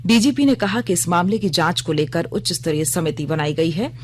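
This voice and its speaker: A woman reading a scripted radio news bulletin in a formal, measured manner. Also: fairly low pitch, expressive, darkish tone.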